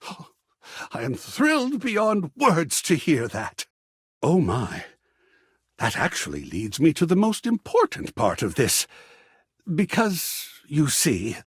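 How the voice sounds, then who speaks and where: An actor playing an effusive, melodramatic male art lover in a video game, speaking in florid, theatrical, drawn-out phrases with a husky voice. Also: medium pitch, dry recording, studio quality, full character voice. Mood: thrilled, eager. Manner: florid, theatrical, drawn-out delivery with big swoops. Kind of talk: theatrical game character dialogue.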